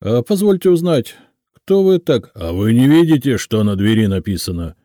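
A man voicing an angry, domineering bald office boss, loud and booming, with a pompous, scornful manner, in a story reading. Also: very animated, normal speed, no room sound, clean studio sound, natural voice. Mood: haughty, challenging. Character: angry bald new male boss, domineering, barking at clerks.

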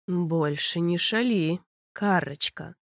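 A woman voicing a powerful, seductive male vampire who speaks low, slow and teasing, almost in a whisper. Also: expressive, no echo, clean studio sound, heavily acted.

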